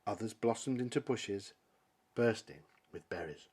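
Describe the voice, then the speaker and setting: A man reading a children's picture book aloud as a dramatic narration, with theatrical delivery and emphatic pauses. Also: lowish voice, expressive, a little mellow, husky. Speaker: storybook reader.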